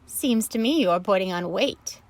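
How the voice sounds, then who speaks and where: A woman voicing a blunt, worldly old female sheep who delivers bad news loudly and matter-of-factly. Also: medium pitch, animated, unhurried, dry recording, lightly acted. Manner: blunt, matter-of-fact, loud. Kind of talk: storybook reading.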